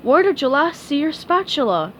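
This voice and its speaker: A woman's voice: sits high, very animated, moderate pace, fairly bright tone, a little hiss or hum, voice pushed up. A woman voicing a patient, weary female pufferfish teacher, sighing as she speaks.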